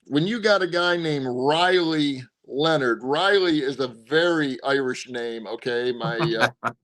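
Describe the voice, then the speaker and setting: Male co-host of a sports show, fairly high voice, animated and talkative. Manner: animated, drops pitch to stress points, frequent restarts. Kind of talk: casual sports picks chat.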